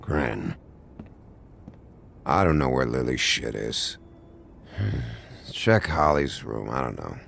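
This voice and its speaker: A male actor in a video game playing a bitter, drunk, foul-mouthed man, sarcastic and volatile, with a gravelly voice. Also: a bass voice, very animated, takes it slowly, long pauses, a bit bright, slight background noise, full character voice. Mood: weary, slurring. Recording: music under the voice.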